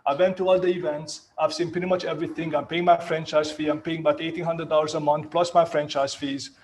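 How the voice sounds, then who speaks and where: A man's voice: fairly high pitch, little pitch movement, fast, a little mellow. A man in his fifties with a Hungarian accent, telling his career story casually on a panel and often adding "right?". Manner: chatty, self-mocking, leans on "right?" tags. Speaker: real estate agent on a panel.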